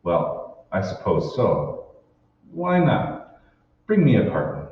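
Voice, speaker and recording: A man's voice: lowish voice, huge pitch swings, takes it slowly, heavily acted. A man playing a troubled, suspicious husband in an old-time radio drama, convinced his world is fake. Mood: weary, reserved. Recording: echoey hall, distant mic, dull, faint noise.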